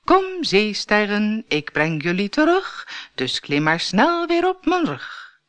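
A woman's voice: mid-range voice, wildly swooping pitch, takes it slowly, fairly bright tone, no room sound, pitched above the performer's own voice, heavily acted. A woman voicing a kindly, playful old male sea turtle who speaks in singsong rhyme for a children's storybook. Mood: helpful, cheery. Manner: singsong rhyming delivery.

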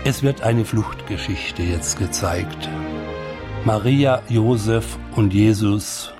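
A man's voice: lowish voice, slow pace. A man giving reflective, personal commentary as he watches a film. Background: music.

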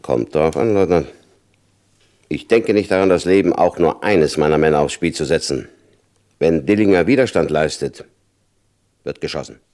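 An actor playing a hard, shrewd FBI chief in a German radio crime drama, condescending and insinuating, with a gravelly voice. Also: male voice, very deep voice, moderate pace, long pauses, a little mellow. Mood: tense, stern.

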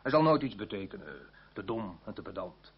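An actor playing a confident, wry French revolutionary deputy in a radio play. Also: male voice, on the low side, sing-song, fairly quick, a little hiss or hum. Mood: wry, persuasive. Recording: dull, husky old radio play recording.

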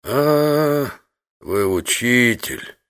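An actor playing a wary old fisherman from a poor village in an audio drama, slow and questioning, curt but able to turn accommodating. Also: mid-range voice, animated, a bit bright, clean tone. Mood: probing. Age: older. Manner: slow, questioning, drawn-out reactions.

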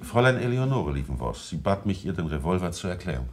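A man's voice: sits low, very animated, quick pace. A man playing a reserved witness in a German radio crime drama, speaking formally and hesitantly as he testifies. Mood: reluctant. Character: reserved, careful male witness giving testimony.

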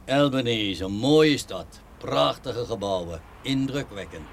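A man's voice: medium pitch, expressive, measured pace. A man playing a chatty but authoritative customs officer in a radio drama. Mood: affable, sly. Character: chatty male customs officer turning brusque. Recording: clearly noisy background ambience.

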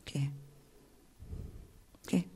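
A woman playing a suspicious, wounded wife in an improvised radio drama, probing haltingly with an Argentine accent and a husky, creaky voice. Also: warm tone. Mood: hurt, baffled. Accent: Argentine Spanish.

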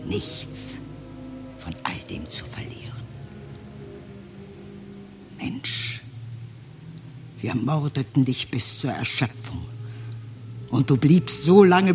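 A man acting a solemn poetic monologue in a radio play, an anguished, accusing figure addressing mankind, with dramatic declamation that falls into hushed tones. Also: medium pitch, lively intonation, slow pace, pauses often. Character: anguished male speaker reciting a fervent accusatory address to mankind. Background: music.

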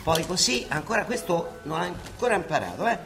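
An actor playing a bustling, grumbling but fond monsignor with a Roman accent, in a theatrical radio drama style. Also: male voice, high voice, sing-song, brisk, vocal fry, heavily acted. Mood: fussing, impatient. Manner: colloquial, chivvying, muttering and exclaiming. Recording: echoey stage-like sound with music behind.

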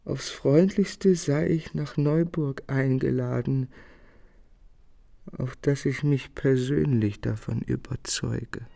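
A young painter consumed by love and torment. He speaks in a slow, literary, declamatory way, full of feeling, in the manner of a German radio drama. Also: mid-range voice, creaky, mellow. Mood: brooding, bitter. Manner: declamatory, emotional, literary delivery.

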